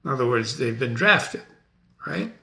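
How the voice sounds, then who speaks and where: A man's voice: medium pitch, animated, measured pace, pushed and bright. A man hosting a dramatic reading, explaining it conversationally and checking in with rhetorical questions. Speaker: host and reader.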